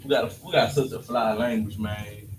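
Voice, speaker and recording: A man's voice: fairly low pitch, fairly even intonation, normal speed. Casual male teacher in an echoey room. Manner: casual, checks understanding often. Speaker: class teacher. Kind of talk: classroom lesson on alphabet letters. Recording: echoey room, distant mic, faint noise.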